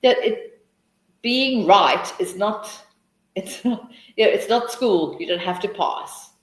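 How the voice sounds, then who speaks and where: A woman's voice: mid-range voice, takes it slowly, roomy sound. A South African woman hosting a live teaching webinar, answering questions in a chatty, encouraging way with many restarts.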